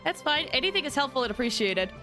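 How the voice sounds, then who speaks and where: A woman's voice: sits high, very animated, quick pace. A woman livestreaming a game, chatting casually and reacting in a friendly way to the story and her viewers. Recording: game music behind voice. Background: game music.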